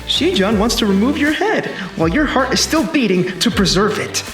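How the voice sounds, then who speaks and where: An actor playing a commanding, cocky time traveler in an action sci-fi film, terse and insistent. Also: male voice, very high-pitched, big pitch swings, normal speed, a bit bright, full character voice. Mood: urgent, commanding. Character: urgent male time-traveling protector from the future, commanding and cocky. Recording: roomy sound with music behind.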